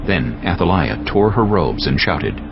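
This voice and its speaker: A man narrating scripture as a scripted audiobook drama, in a solemn, measured storytelling manner. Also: low-pitched, very animated, highs cut off, over music.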